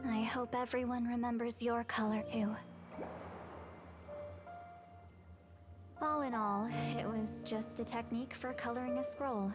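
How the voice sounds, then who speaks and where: A woman's voice: on the high side, level tone, normal speed, natural voice. A woman playing a proud, reserved, centuries-old but youthful-sounding shaman in a video game, aloof with a wistful edge. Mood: proud, matter-of-fact. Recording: game music behind voice.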